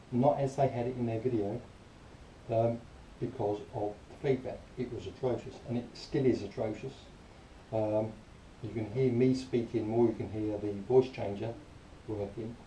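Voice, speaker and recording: A man's voice: mid-range voice, steady tone, normal speed, warm tone. A man giving a casual, plain-spoken and disgruntled product review to camera. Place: small enclosed room indoors. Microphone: camera mic some distance away. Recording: roomy, distant camera mic, faint noise.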